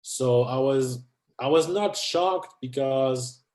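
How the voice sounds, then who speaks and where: A man in his thirties speaks English as a second language, picking his words with pauses, fillers and restarts. His voice sits at an ordinary middle pitch, and it carries a noticeable echo from the room around him. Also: darkish tone. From France. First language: not English. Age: 30s. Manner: hesitant, many restarts and fillers. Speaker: guest. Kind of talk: conversational interview.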